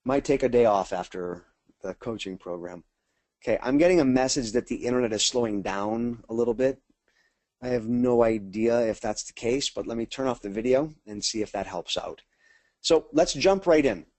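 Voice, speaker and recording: A man's voice: medium pitch, normal speed, a little mellow, no room sound. An American man leading a casual webinar as a sales trainer, chatting informally and speaking straight to his attendees.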